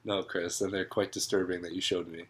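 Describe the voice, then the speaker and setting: A man's voice: low-pitched, level tone, brisk. A young man co-hosting a casual podcast, sounding congested and nasal from a cold, with dry, sarcastic remarks. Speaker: podcast co-host. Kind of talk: casual chat.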